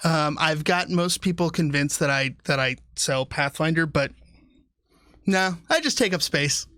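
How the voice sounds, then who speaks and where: Male livestream guest. High, bright voice, casual and jokey, happy to make fun of himself. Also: animated, measured pace, dry recording, studio quality. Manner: joking, self-deprecating. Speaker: guest player. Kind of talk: casual banter.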